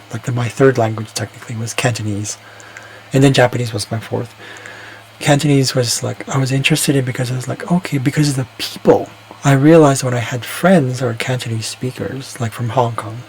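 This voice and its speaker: A man's voice: mid-range voice, slight background noise, rough recording. A man talking alone in a casual, reflective monologue, rambling and hesitant, often restarting his sentences. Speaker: vlogger.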